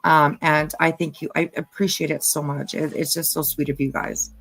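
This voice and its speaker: A woman hosting her channel, chatting casually and haltingly, with many ums and restarts. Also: low voice, flat delivery, creaky, a bit bright, no room sound. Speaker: channel host. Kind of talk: casual commentary chat.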